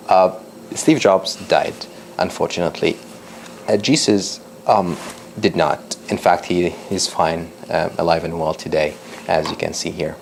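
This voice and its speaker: A man's voice: low voice, creaky, a little hiss or hum. A young man from Lebanon, a doctoral researcher, giving a public science talk with many ums and restarts.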